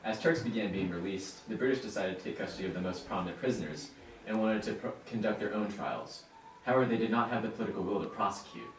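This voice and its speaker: Young man as interviewed expert, deep voice, quick scripted answers, echoey distant sound. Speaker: student playing interviewed expert. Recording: echoey, distant mic, faint noise.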